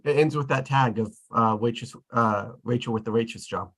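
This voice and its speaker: A man's voice: mid-range voice, normal speed, fairly bright tone. A man chatting casually in a group, tentative and often correcting himself mid-thought. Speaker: table read participant.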